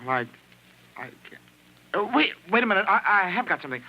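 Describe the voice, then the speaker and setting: An actor in a 1940s radio drama playing a desperate, bitter husband accused of a crime, stammering and halting. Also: male voice, high-pitched, sing-song, highs cut off, exaggerated voice. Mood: flustered. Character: desperate accused husband, unfaithful, bitter toward his wife. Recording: old 1940s radio transcription.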